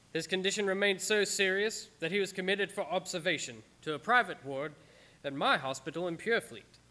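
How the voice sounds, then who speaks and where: Actor as bright, earnest, haunted doctor. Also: male voice, on the high side. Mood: formal recounting. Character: earnest, haunted male doctor recounting horrors. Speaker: actor narrating in a radio play. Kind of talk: dramatic radio-play narration from a journal.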